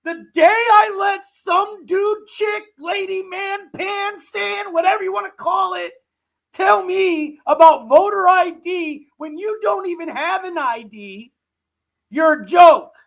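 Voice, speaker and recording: A man's voice: very high-pitched, takes it slowly, vocal fry, a bit bright. A man hosting a livestream, giving mocking live reaction commentary with a sore throat. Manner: mocking, laughing ranting. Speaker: livestream host.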